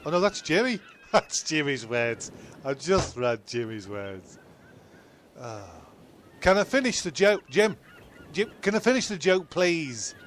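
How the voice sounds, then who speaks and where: A man's voice: on the high side, very animated, measured pace, slight background noise. A man voicing a flustered male castaway comedian who rants and swears when annoyed. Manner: ranting, sweary.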